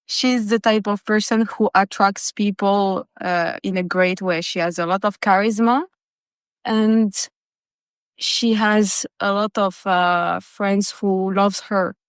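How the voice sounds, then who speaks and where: A woman hosting a casual conversational interview, speaking in a halting way. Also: mid-range voice, steady tone, smooth, no echo, studio quality. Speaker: podcast host.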